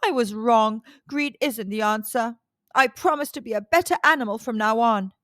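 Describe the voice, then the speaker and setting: A woman's voice: a little high, moderate pace, fairly bright tone, no echo, studio quality, natural voice. A woman voicing a cunning male fox, the villain of a children's story, in a bold, dramatic manner. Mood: remorseful.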